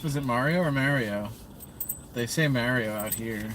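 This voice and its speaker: A man's voice: medium pitch, moderate pace, fairly bright tone, a little hiss or hum. A young man livestreaming from inside a parked car at night, chatting in a rambling, scattered way to a phone camera held at arm's length. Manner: rambling, self-talking, scattered. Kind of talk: casual livestream chat.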